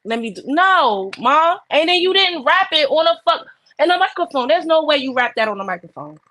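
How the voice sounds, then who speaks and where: A woman's voice: sits high, sing-song, brisk, bright, forceful tone, no echo, studio quality. A woman co-hosting a casual podcast, blunt and fired up, swearing as she argues her point. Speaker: podcast co-host. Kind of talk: heated casual chat.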